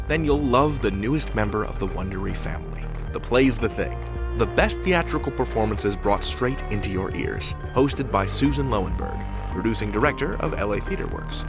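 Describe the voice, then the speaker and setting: A man hosting a podcast with scripted, playful narration in a wry, theatrical manner. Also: mid-range voice. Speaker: podcast host. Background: music.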